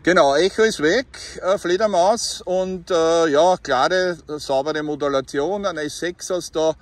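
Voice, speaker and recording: A man's voice: a little high, unhurried, no echo. A man with a Viennese accent chatting casually as a CB radio hobbyist outdoors, often ending phrases with tag questions. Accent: Viennese Austrian. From Austria. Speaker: CB radio hobbyist filming a vlog. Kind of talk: casual radio chat and commentary. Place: outdoors by bushes on a roadside. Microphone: handheld phone filming.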